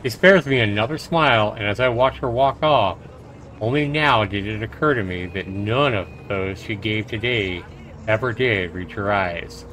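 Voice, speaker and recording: A man's voice: on the low side, moderate pace, fairly bright tone, a little hiss or hum, low-quality recording. A man livestreaming a game, reading the narration aloud and adding his own commentary. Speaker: streamer and host.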